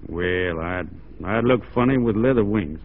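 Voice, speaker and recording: An actor playing a modest, good-natured Texas Ranger cowboy, speaking in halting, folksy understatement in a 1940s radio drama. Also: male voice, on the low side, close to an everyday voice. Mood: sheepish, wry. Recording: muffled, tinny 1940s radio recording.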